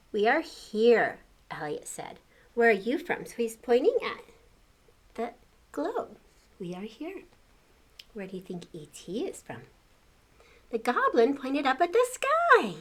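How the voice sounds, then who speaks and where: An older woman reading a children's picture book aloud, pausing to comment on the pictures and ask young listeners questions. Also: a little high, huge pitch swings. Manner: exclaims and chats about the pictures, asks questions. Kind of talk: expressive read-aloud storytelling with asides to young listeners.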